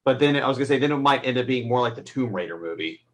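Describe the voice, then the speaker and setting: A man's voice: mid-range voice, expressive, rapid-fire. A man giving his take in a casual movie review, rambling and circling back to rephrase. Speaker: film review panelist.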